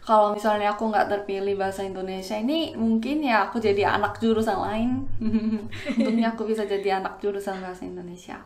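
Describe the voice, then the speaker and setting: Woman, twenties, Korean accent, medium pitch, casual vlog explanation, echoey room. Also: steady tone, fast. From South Korea. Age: 20s. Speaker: student vlogger.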